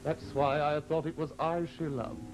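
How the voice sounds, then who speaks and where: An actor playing the Devil as a proud, courtly gentleman, mannered and over-polite, with deliberate pauses, in old-time radio horror style. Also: a little high, natural voice, low-quality recording. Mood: wounded pride. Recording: vintage radio drama, music behind.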